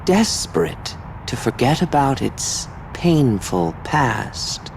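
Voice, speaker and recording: A man playing a brooding, poetic villain in a video game, measured and theatrical. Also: medium pitch, very animated, gravelly, a little hiss or hum, heavily acted, poor audio. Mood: solemn. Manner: theatrical, long-winded, measured. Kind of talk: scripted video game drama acting.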